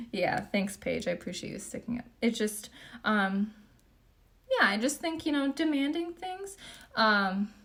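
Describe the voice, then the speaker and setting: A young woman from Japan chatting casually through a livestream Q&A, reading comments aloud while doing her makeup, relaxed and full of fillers. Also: a little high. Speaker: beauty vlogger.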